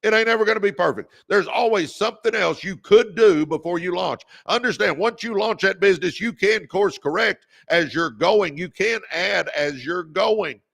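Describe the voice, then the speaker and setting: A man in his fifties hosting a live-stream podcast, delivering an energetic, repetitive pep talk in a booming voice. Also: moderate pace, vocal fry, hardly any pauses. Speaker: podcast host.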